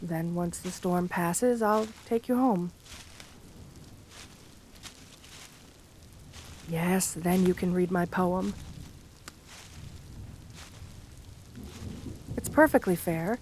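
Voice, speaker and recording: A woman voicing a shy, lonely, romantic gargoyle girl in a gentle ASMR roleplay, speaking tenderly with formal, bookish wording. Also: fairly low pitch, unhurried, slight background noise, natural voice. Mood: bashful, fond. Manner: tender, soft-spoken, formal old-fashioned wording.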